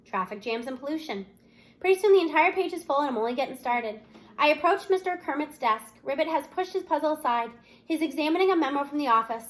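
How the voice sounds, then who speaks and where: A woman's voice: sits high, expressive, fast talker. A woman reading a children's novel aloud expressively, narrating as a student in the first person. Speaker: audiobook-style reader.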